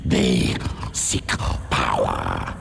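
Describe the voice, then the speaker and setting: A primal, predatory creature, speaks in short cryptic phrases at a crawl. The guttural voice is extremely deep and crackles with gravel, calm and patient. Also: male voice, heavily acted. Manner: very slow, short cryptic sentences. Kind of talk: video game character acting. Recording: reverberant, noisy track.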